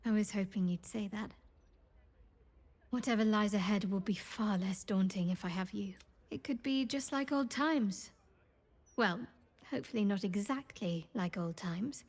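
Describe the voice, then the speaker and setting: A woman playing a young half-elf adventurer in a video game, wistful and tender, with a soft, breathy delivery. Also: medium pitch, measured pace, natural voice. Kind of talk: intimate video game dialogue.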